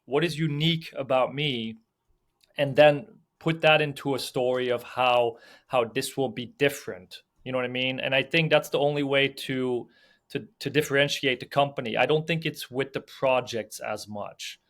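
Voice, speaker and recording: A man's voice: medium pitch, moderate pace, fairly bright tone. A young man from Belgium, a film producer and sales agent, talking loosely in a podcast interview, full of fillers, restarts and "you know what I mean".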